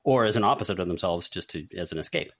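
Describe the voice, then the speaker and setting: A man's voice: low-pitched, lively intonation, fast. A man co-hosting a podcast, talking in a casual, conversational style. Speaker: podcast host. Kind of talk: casual chat about movies and games.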